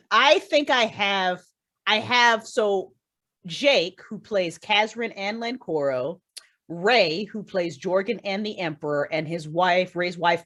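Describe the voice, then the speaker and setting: A woman's voice: mid-range voice, fairly bright tone. Emphatic, slangy woman in casual chat. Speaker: guest, writer and creator of audio dramas. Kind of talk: casual, profane fan chat.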